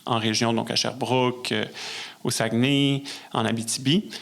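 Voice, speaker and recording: A man with a Québécois French accent, speaking as a guest in a casual interview, often halting to search for words. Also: medium pitch, steady tone, gravelly, fairly bright tone. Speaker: podcast guest, musician and conductor.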